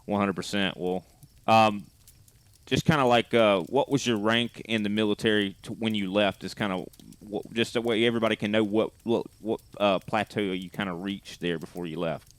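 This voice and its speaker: A man's voice: on the low side, steady tone, moderate pace, no room sound. A man hosting a podcast, asking rambling questions full of fillers in a casual tone. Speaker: podcast host.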